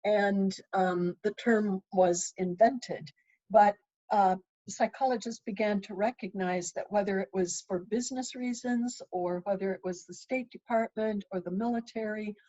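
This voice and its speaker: A woman in her sixties who grew up in Ethiopia, answering interview questions thoughtfully with frequent pauses and restarts. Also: medium pitch, steady tone, warm tone. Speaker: author and interviewee. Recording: dull lo-fi sound.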